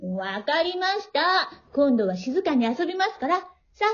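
Woman as a high, playful little fairy. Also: sing-song, moderate pace, highs cut off. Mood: cheeky, coaxing. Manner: cheeky, childlike, sing-song. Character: mischievous, playful little sleeper-bus fairy. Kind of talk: amateur short radio drama acting.

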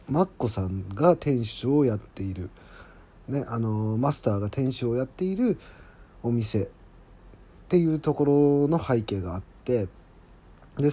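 A man's voice: lowish voice, mellow. A man hosting a solo podcast as its drama's writer, giving casual, chatty, admiring behind-the-scenes commentary. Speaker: podcast host and drama scriptwriter.

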